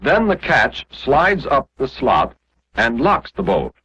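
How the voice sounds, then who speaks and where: A dull, muffled vintage film soundtrack carries a male narrator from the 1940s. His voice is a little husky and moves with lively, emphatic pitch. He explains mechanics step by step, reading from a script like an instructor. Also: slow. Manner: didactic, clearly explanatory, step by step.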